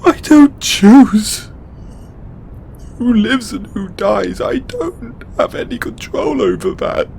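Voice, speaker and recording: A tearful, overburdened male god, acted out by a man, pleads in a high, widely swinging voice. He speaks slowly and haltingly, anguished and exasperated, as in an intimate audio roleplay. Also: animated, creaky, a little mellow, husky, exaggerated voice. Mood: anguished, defensive. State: crying. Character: distraught, overburdened male god venting to his devotee. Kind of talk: emotional audio roleplay acting.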